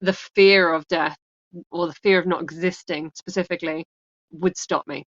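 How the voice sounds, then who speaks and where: A woman with a fairly low voice speaks candidly as an interview guest. She rambles, breaks off mid-sentence and laughs easily. The sound is dry and muffled, like lo-fi call audio. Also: steady tone, moderate pace, fairly bright tone, dry recording. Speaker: author guest.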